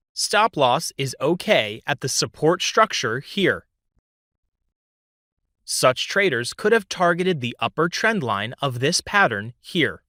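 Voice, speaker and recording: A man reading a scripted instructional narration in a measured, didactic way as a tutorial voice-over. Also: on the high side, fairly bright tone, dry recording, clean studio sound.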